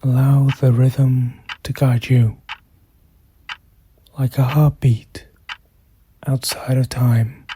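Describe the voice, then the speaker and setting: A meditation guide speaking softly and soothingly, in measured phrases with long pauses and a breath that shows at times. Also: mid-range voice, flat delivery, a little hiss or hum. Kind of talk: slow guided meditation narration.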